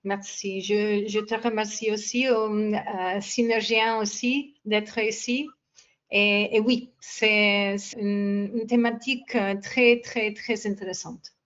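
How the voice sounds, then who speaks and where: A woman's voice: mid-range voice, level tone, takes it slowly, fairly bright tone, highs cut off. A woman in her fifties with an English accent, a coach guest speaking in French and often stopping to find her words. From England. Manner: hesitant, searching for words. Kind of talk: casual interview chat.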